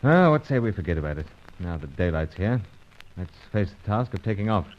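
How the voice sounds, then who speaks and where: An actor playing a cool, gentlemanly British secret agent who speaks and narrates with urbane, clipped manners in an old-time radio serial. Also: male voice, sits low, very animated, a little fast. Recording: dull lo-fi old radio recording.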